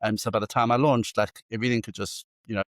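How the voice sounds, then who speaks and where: A South African man in his forties speaks as an interview guest, and his voice sits low. He tells his stories eagerly, restarting phrases as he goes. The sound is dry and close, with no room around it. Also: fairly even intonation, no room sound. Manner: enthusiastic, fast storytelling with many restarts. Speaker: agency founder and CEO, guest. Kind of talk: casual interview talk.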